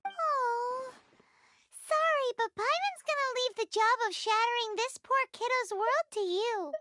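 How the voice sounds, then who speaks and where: A woman voicing a tiny, excitable, blunt fairy girl with a squeaky childlike voice in a video game. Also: unhurried, full character voice. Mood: sympathetic. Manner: refers to herself in third person, exclaiming.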